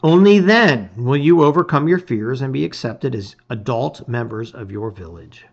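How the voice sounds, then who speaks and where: Middle-aged man, medium pitch, read-aloud host, emphatic delivery, soft phrase endings, slightly muffled lo-fi audio. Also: animated, moderate pace, creaky. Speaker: host reading a gamebook aloud. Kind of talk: casual intro and dramatic read-aloud of storybook narration. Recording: slightly dull, lo-fi sound.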